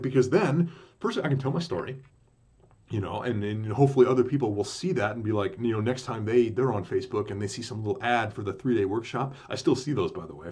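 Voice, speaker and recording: A man with a deep voice hosting a podcast, telling his own story in a loose, rambling way full of fillers and restarts. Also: darkish tone, breathy edge. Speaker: podcast host. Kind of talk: casual rambling monologue.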